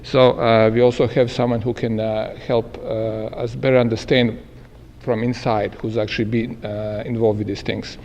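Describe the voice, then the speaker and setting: A man moderating a panel at a live event, speaking haltingly with many filler sounds. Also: on the low side, level tone, a little mellow, dull audio, a little hiss or hum. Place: meeting room at an event. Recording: echoey hall with long reverb.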